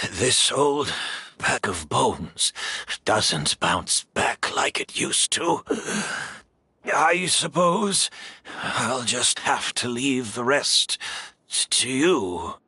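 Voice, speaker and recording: An actor playing a frail, weary old man with a raspy voice and a measured, deliberate delivery in a video game. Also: mid-range voice, sing-song, pushed and bright, no echo, exaggerated voice. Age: older. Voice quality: husky, raspy. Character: frail, weary old man set on confronting his enemy.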